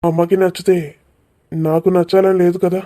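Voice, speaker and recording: A woman's voice: on the low side, flat delivery, moderate pace, mellow, natural voice. A woman voicing a mild, earnest young village man in a cartoon comedy, speaking plainly. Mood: defensive.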